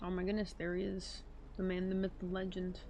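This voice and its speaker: A woman's voice: lowish voice, completely flat delivery, a little fast, dark tone, slight background noise. A woman game streamer giving casual, chatty, slangy reaction commentary over a playthrough.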